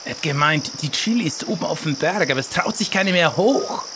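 A man's voice: on the high side, lively intonation, brisk, background noise. A man playing along in an improvised adventure audio play, with casual, exclamatory banter.

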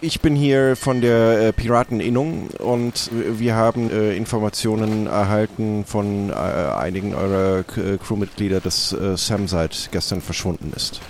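A man playing a flustered, formal pirate guild official who speaks haltingly in an improvised role-play. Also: lowish voice, steady tone, lightly acted. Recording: clearly noisy background.

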